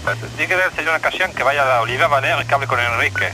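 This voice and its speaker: A man's voice: medium pitch, racing, bright, forceful tone, a little hiss or hum, low-quality recording. A man from making a terse call in an archive phone recording. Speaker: caller.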